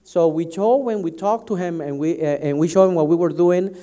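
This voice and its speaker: A man's voice: on the high side, moderate pace, warm tone. A man from Latin America preaching a sermon, emphatic and often restarting his sentences. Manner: emphatic, restarts and stumbles over words.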